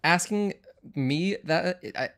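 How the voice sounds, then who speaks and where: A man's voice: sits high, slow, a bit bright. Chatty young man streaming a speedrun. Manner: chatty, rambling, self-correcting. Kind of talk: casual live-stream commentary. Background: faint game music.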